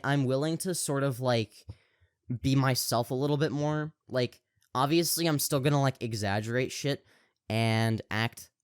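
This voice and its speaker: A man's voice: medium pitch, smooth, dry recording, studio quality. A man co-hosting a podcast in casual chat, rambling with lots of fillers and restarts and projecting his voice. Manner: projecting voice on camera. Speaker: podcast co-host.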